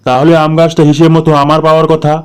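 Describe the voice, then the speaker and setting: A man's voice: fairly high pitch, flat delivery, racing, clean tone, lightly acted. A man voicing a greedy, dishonest elder brother from a village in a cartoon moral story, bossy and scheming. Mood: self-serving.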